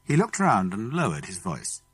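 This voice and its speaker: A man's voice: fairly low pitch, wildly swooping pitch, moderate pace, a bit bright, slightly rough. A man with a British accent reading a children's story as an audiobook narrator.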